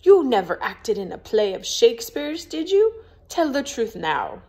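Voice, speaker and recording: A woman's voice: on the high side, sing-song, unhurried, darkish tone, pitched above the performer's own voice. A woman voicing a proud, stiff young lady in a storybook, with a prim, formal, scolding manner. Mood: disbelieving.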